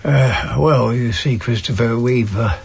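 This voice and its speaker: An actor playing a flustered, stubborn, devoted old husband with a creaky, hesitant voice in a radio play. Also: male voice, mid-range voice, a little slow, highs cut off, heavily acted. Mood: sheepish, stubborn. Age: older. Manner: hesitant, fussing. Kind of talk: naturalistic British radio drama acting.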